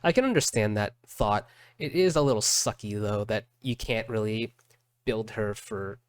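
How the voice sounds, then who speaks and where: A man's voice: fairly low pitch, moderate pace, clear, resonant tone, no room sound. A man giving casual, hesitant reaction commentary as a streamer watching game videos. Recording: loud music behind, dry close mic. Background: game trailer music.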